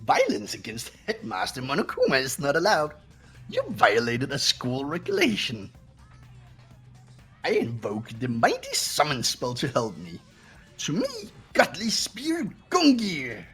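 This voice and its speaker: A very raspy cartoon robot bear runs a game of cruelty as headmaster. The pitch leaps wildly as it taunts with gleeful, mock-innocent theatrics, over music. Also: wildly swooping pitch, measured pace, a bit bright, full character voice. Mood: menacing. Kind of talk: fan voiced visual novel playthrough.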